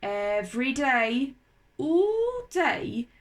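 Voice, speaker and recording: A woman voicing a brisk, reassuring female chicken with a chatty, clucking manner for a children's storybook. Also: high voice, sing-song, exaggerated voice. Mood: chatty, explaining. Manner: clucking, cackling, matter-of-fact.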